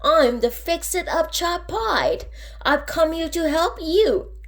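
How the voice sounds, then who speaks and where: Woman playing a slick high-voiced salesman, swooping pitch. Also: animated, takes it slowly, gravelly, heavily acted. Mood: confident, pitching. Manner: persuasive showman's pitch. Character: slick, upbeat male travelling salesman and fixer.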